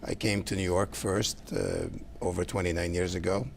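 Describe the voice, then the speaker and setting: A middle-aged man from Lebanon, speaking English, answering interview questions earnestly and haltingly, with many fillers. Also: low voice, big pitch swings, gravelly, a little hiss or hum. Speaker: guest, council candidate.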